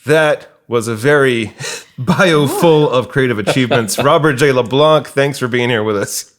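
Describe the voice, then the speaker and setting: Mid-pitched man hosting a podcast. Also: normal speed. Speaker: podcast host. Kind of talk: scripted introduction and interview hosting.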